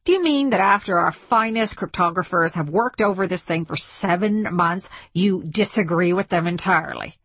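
An impatient army general barking scorn in a tense briefing, rough-edged and snappish, from an audiobook as a woman narrator plays him. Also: lowish voice, normal speed, few pauses, a bit bright, dry recording. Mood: incredulous. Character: gruff, impatient male military general, scornful.

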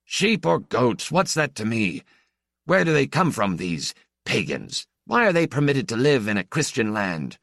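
A man's voice: medium pitch, sing-song, unhurried, fairly bright tone, no echo, clean studio sound, voice pushed up, heavily acted. A man voicing a haughty pot-bellied stranger priest who speaks sharply and demands answers.

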